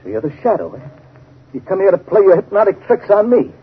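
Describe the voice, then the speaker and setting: An actor playing a cunning, menacing crime boss with sneering, commanding delivery in a 1930s radio mystery style. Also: male voice, sits high, big pitch swings, quick pace, slightly rough, full character voice. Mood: sneering, controlled. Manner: sneering, commanding, clipped orders. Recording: dull 1930s radio recording.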